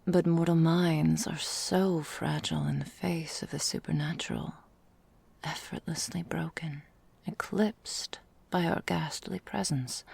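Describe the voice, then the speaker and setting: A woman playing an ancient, elegant vampire mistress in an ASMR roleplay, speaking formally and deliberately in a breathy, gravelly, whispery voice. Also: sits low, darkish tone, heavily acted. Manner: slow, formal, theatrical, deliberate.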